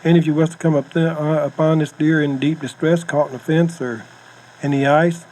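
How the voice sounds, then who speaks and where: A man's voice: a little high, fairly even intonation, a little fast, a little mellow. An American man giving informal hunting instruction on a cassette tape, with plainspoken, folksy phrasing. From United States. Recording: noisy home-style cassette tape recording.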